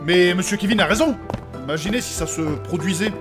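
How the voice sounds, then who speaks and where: A man's voice: high voice, brisk, over music, lightly acted. A man playing a proper, pious and learned adventurer in a comedic fantasy audio drama, formal, polite and easily shocked. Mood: moralising.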